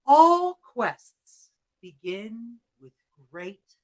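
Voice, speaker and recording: A woman voicing a wise, scarred old dragon, speaking dramatically with loud cries and slow, drawn-out words. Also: on the high side, sing-song, heavily acted, poor audio. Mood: earnest. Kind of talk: storytelling performance.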